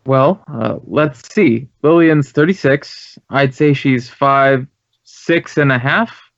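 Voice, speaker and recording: An American actor playing an earnest, courteous and talkative director of a drama school in an old radio drama. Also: male voice, mid-range voice, slow pace, vocal fry, dry recording. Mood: puzzled, chatty. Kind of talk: 1950s radio acting. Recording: dull, dry old radio recording.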